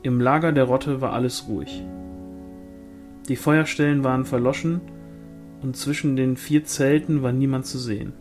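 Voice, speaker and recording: A man's voice: mid-range voice, steady tone, moderate pace, pauses often, a little mellow. Man narrating a novel over music. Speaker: audiobook reader. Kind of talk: scripted narration, reading a fantasy novel.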